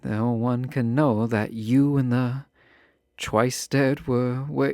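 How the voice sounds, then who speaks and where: A man playing a refined, guarded yet fond fantasy ruler in a romantic audio roleplay, speaking in formal, measured phrases with teasing asides. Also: medium pitch, flat delivery, warm tone, no echo, studio quality. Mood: earnest, guarded.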